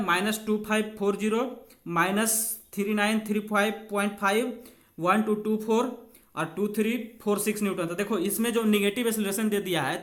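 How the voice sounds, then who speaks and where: A man's voice: high-pitched, fairly bright tone. A man giving an informal bilingual exam lesson, talking to students as friends while explaining questions step by step. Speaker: exam coaching teacher.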